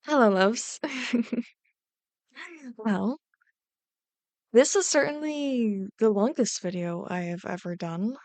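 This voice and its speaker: A woman's voice: mid-range voice, slow pace, pauses often, a little mellow, dry recording. A woman chatting casually to her viewers in a gushing, playful, rambling way. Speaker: YouTube ASMR voice actor.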